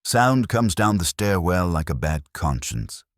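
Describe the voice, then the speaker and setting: A man's voice: low-pitched, big pitch swings, unhurried, no room sound, full character voice. A man voicing a weary, grumbling older male caretaker in an audio story, dry, clipped and grudging.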